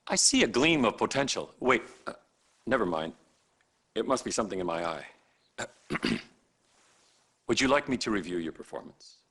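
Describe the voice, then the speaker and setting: An actor playing a sarcastic, condescending hospital supervisor in a video game cutscene, with theatrical, deliberate pauses. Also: male voice, fairly low pitch, big pitch swings, a bit bright, breathy edge, full character voice. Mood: mocking. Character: sarcastic, condescending male hospital boss berating a doctor.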